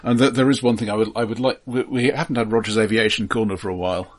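A man's voice: on the low side, fairly even intonation, quick pace. A man co-hosting a casual film podcast, talking in a pedantic, explanatory way and often stammering and repeating words as he goes.

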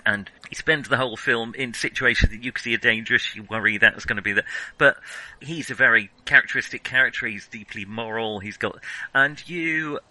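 A man's voice: medium pitch, fairly quick, bright, forceful tone, no room sound, rough recording. A man co-hosting a film podcast, giving opinionated, analytical and wry views in casual discussion.

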